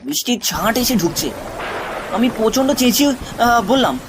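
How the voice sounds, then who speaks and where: A man narrates a scripted horror tale in a dramatic style. His voice is high and lively in pitch, with clear noise running behind it. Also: normal speed. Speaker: story narrator.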